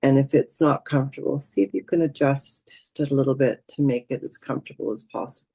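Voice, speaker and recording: A woman guides a meditation in a soft, mellow voice with little edge to it. Her delivery is gentle and soothing, leaving space between short phrases. The sound is dry and close, with no room echo. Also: a bass voice, fairly even intonation, husky. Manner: gentle, soothing, unhurried with pauses. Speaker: meditation teacher.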